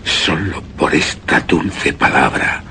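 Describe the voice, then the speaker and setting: A grief-haunted widower narrates in a suspense radio play. His gravelly voice swings dramatically between forceful tones and breathy whispers, halting often. The recording carries some background noise. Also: extremely low pitch, expressive, heavily acted. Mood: brooding. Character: brooding, grief-haunted widower recalling his lost beloved wife. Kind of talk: suspense and horror radio theatre acting, first-person narration.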